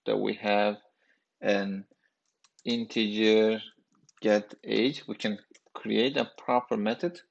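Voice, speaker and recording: Gravelly man teaching code, halting. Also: lowish voice, fairly even intonation, muffled recording. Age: 30s. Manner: halting, thinking aloud with fillers. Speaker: programming instructor. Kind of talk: screencast coding lesson.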